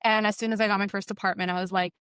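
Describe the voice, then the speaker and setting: A young woman from Egypt guests on a podcast, rambling through her thoughts with many "like" fillers. Her voice is smooth and clear, and the sound is dry and close. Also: mid-range voice, level tone, rapid-fire, fairly bright tone, dry recording. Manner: rambling, heavy "like" fillers, restarts. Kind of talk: casual podcast chat.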